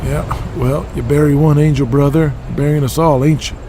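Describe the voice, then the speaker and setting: An actor playing a foul-mouthed, sardonic, grumbling wrestling insider in an audio drama. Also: male voice, fairly high pitch, steady tone, moderate pace, noisy background, close to an everyday voice. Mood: sardonic, irritated.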